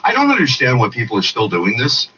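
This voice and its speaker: A man's voice: mid-range voice, huge pitch swings, fast, pushed and bright. A man with a Canadian accent, working as a radio news anchor, reading news and chatting casually. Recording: dull, noisy radio rebroadcast, distant.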